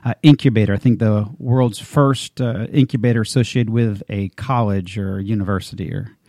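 A man's voice: on the low side, fairly even intonation, normal speed, mellow, no echo, studio quality. A man hosting a radio interview show, chatting casually with many fillers and restarts.

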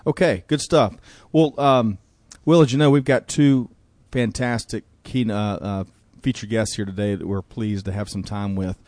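An upbeat, chatty man hosts a business radio talk show. His voice is low, and the recording is dry and close. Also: fairly even intonation, moderate pace, few pauses, a little mellow, dry recording. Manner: upbeat, chatty, uses y'all. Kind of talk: casual podcast chat.

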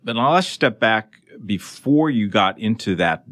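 A man hosting a business radio interview, speaking in a formal, halting way. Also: on the low side, animated, a bit bright, no echo. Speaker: radio show host and interviewer.

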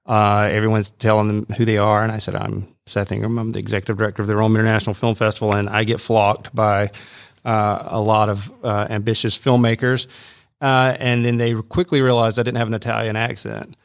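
Male host, low pitch, gravelly, easygoing, dry close sound. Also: little pitch movement, a little fast, dry recording. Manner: easygoing, asks questions, hesitates with "uh". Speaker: host, film festival executive director. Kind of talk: casual interview chat.

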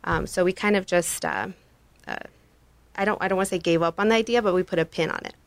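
A woman's voice: on the low side, quick pace, no room sound. A woman from Mexico whose first language is Spanish, answering interview questions in a chatty way, full of "you know" and "um". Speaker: guest, business owner.

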